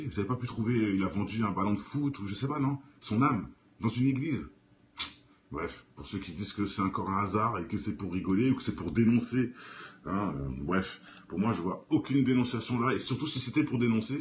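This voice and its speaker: A man's voice: fairly low pitch, slight background noise. A man hosting an informal, off-the-cuff reaction video in an opinionated, argumentative, colloquial way. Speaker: video host, commentator.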